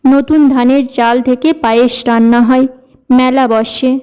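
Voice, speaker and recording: Female narrator for animated tale, high-pitched, warm dark tone, scripted delivery. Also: measured pace, smooth. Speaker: storyteller, narrator. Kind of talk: scripted cartoon story narration.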